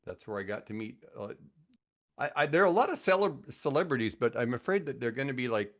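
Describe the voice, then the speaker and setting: A man's voice: medium pitch, expressive, fast talker. A man in his sixties telling casual anecdotes in a jokey, self-mocking way, slipping into acted-out bits of dialogue. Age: 60s. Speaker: podcast guest, retired radio host.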